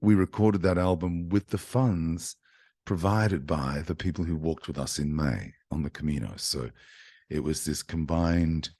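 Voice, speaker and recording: A man, a singer-songwriter, telling a story in a chatty, animated way to an online audience over a video call. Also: low voice, slightly rough. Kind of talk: casual talk to an online audience.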